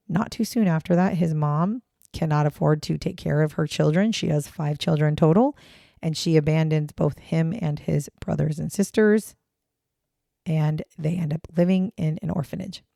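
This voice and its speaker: A woman co-hosting a casual true-crime podcast, her voice hoarse and raspy today, talking in a chatty, blunt way with casual swearing. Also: deep voice, warm tone, dry recording, clean studio sound. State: hoarse, raspy voice after choking on water. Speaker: podcast co-host.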